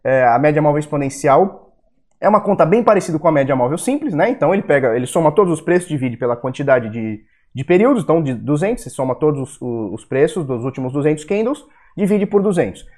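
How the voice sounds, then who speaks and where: A man's voice: a little high, very animated, fast, darkish tone. Blunt Brazilian man ranting about markets. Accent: Brazilian Portuguese. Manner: blunt and profane, with heated rants. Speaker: crypto video host. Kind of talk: casual market analysis talk.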